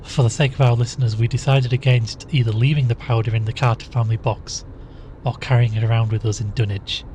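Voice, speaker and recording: An actor playing an earnest young male investigator in an audio drama, narrating and questioning in a measured, deliberate, inquisitive way. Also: medium pitch, level tone, mellow, slight background noise, lightly acted. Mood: grave, pondering.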